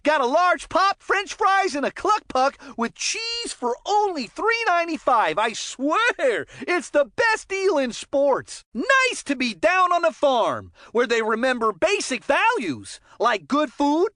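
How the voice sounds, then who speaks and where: A woman's voice: high voice, sing-song, slow pace, few pauses, a bit bright, dry recording, studio quality, heavily acted. A woman voicing a bubbly, goofy young hockey commentator in a kids' video game, chatty and folksy with plenty of catchphrases. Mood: bubbly, joking. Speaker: sports commentator. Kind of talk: animated scripted video game sports commentary.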